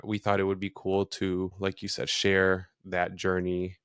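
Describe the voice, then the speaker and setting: A man's voice: low-pitched, completely flat delivery, measured pace, fairly bright tone, clear, resonant tone, dry recording, clean studio sound. A man in his thirties co-hosting a podcast, chatting informally and often adding "you know". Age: 30s. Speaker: podcast host. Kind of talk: casual conversational podcast chat.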